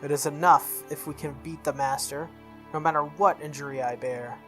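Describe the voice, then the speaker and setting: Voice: mid-range voice, fairly even intonation, moderate pace, fairly bright tone, faint music behind, lightly acted. A game streamer voicing a proud, stubborn young female warrior servant with formal, resolute speech in a visual novel.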